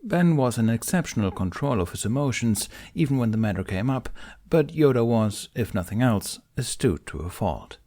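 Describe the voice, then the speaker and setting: A man's voice: mid-range voice, big pitch swings, normal speed, mellow. A man reading a fan fiction story aloud, narrating expressively and switching into character voices.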